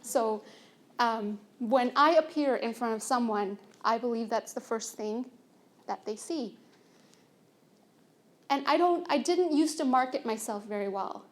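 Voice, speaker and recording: A young adult woman, soft-spoken and earnest, telling a personal story on stage at a conference, with fillers and restarts. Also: fairly high pitch, a little slow, pauses often. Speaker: conference speaker.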